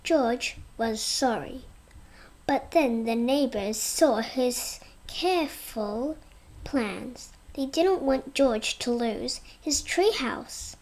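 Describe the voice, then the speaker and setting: A child reading a storybook aloud, careful and expressive, word by word. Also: medium pitch, very slow. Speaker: reader.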